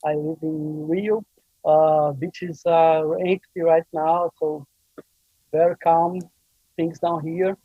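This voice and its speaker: A man's voice: a little high, fairly even intonation, slow pace, dry recording, phone-quality sound. A man from Brazil with a Brazilian Portuguese accent, a guest talking casually on a video call with frequent hesitations. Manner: hesitant, many fillers. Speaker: guest, live streaming producer.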